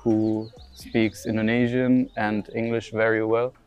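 A man's voice: on the low side, level tone, unhurried, a little mellow. A young man from Germany answering interview questions about his football career, hesitant, with many fillers and restarts. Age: young adult.

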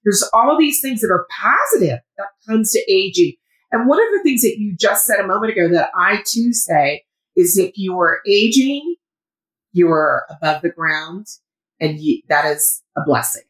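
A woman in her fifties, a guest in an interview, talking loudly and with enthusiasm. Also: mid-range voice, expressive, normal speed, roomy sound, rough recording. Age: 50s. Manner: loud, emphatic, enthusiastic. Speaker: guest, founder of a women's community. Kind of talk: conversational interview.

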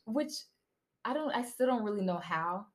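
A woman's voice: mid-range voice, animated, normal speed. A woman co-hosting a podcast with friends, casual and easygoing, chiming in often with agreement. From born in Iowa, raised in Dallas. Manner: easygoing, frequent agreeing interjections. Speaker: podcast co-host, model. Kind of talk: casual chat among friends.

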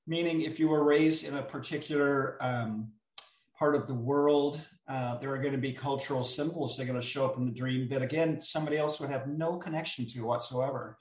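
A man speaks in a mid-range voice, reflective and unhurried, often pausing on small fillers. He sits well back from the microphone. The room around him is echoey. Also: medium pitch, fairly even intonation. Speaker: author guest. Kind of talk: reflective storytelling chat. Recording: roomy sound, distant from the mic.

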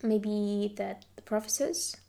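A woman's voice: mid-range voice, takes it slowly, creaky, warm tone. A young woman, a university student and non-native English speaker, answering casual interview questions hesitantly as she searches for words. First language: not English. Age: young adult.

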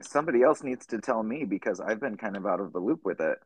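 Deep-voiced tired man, a bit scratchy. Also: expressive, fast talker, breathy edge. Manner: chatty, laughs easily. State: tired, a little scratchy from a cold. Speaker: podcast co-host. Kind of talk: casual chat.

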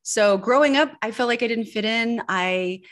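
A woman's voice: a little high, normal speed, fairly bright tone. A middle-aged woman who grew up in Northern California, speaking as an interview guest and telling her life story in an earnest, confessional way. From Switzerland, grew up in Northern California. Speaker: guest, personal branding expert.

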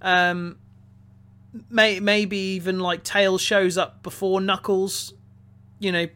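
A man's voice: high voice, level tone, slow, bright, forceful tone, clear, resonant tone. A man co-hosting a casual film podcast, speaking hesitantly and often repeating words as he forms his opinion. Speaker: podcast co-host, film reviewer. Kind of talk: casual chat about film.

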